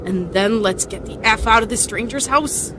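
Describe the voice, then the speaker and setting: A woman's voice: on the high side, normal speed, very noisy, close to an everyday voice. A woman playing a bold, teasing young lover in a modern radio drama, with a wry, impatient manner. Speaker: radio play actor.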